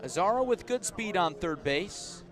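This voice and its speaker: A man with a fairly high voice gives live baseball play-by-play for radio. He describes the action in clipped, measured phrases and lifts his delivery when a play matters. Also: a crowd in the background. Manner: measured description rising to excited calls on key plays. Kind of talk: live radio sports commentary.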